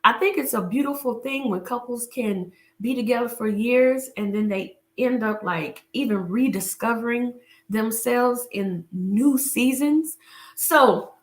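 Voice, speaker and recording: Chatty woman coach with a slightly raspy voice. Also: fairly high pitch, unhurried, reverberant. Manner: chatty, many filler restarts. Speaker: relationship coach. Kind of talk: casual live stream talk and book reading.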